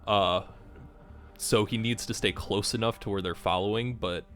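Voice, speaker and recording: Low-voiced man playing a tabletop game. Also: steady tone, moderate pace, a bit bright, soft background music. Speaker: player in a tabletop game podcast. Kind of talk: casual game talk.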